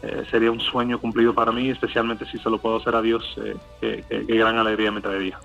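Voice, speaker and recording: A man's voice: lowish voice, completely flat delivery, fast, vocal fry, fairly bright tone, lo-fi sound, soft background music. Hesitant man with Cuban-Colombian accent. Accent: Cuban Spanish softened by Colombian. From Miami, USA. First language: English. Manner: hesitant, many fillers and restarts. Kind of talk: interview answers.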